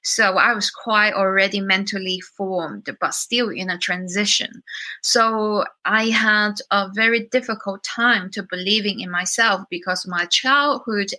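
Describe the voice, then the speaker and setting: A woman's voice: medium pitch, pushed and bright, rough recording. A woman in her thirties from China, speaking with a Chinese accent touched by British English, telling her life story candidly as a podcast guest, with many fillers. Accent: Chinese with some British. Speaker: guest musician. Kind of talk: podcast interview.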